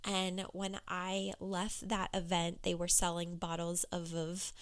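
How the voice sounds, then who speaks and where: A woman business coach talking candidly on her solo podcast, with a hoarse, froggy voice. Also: lowish voice, monotone, slow. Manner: candid, conversational, with fillers.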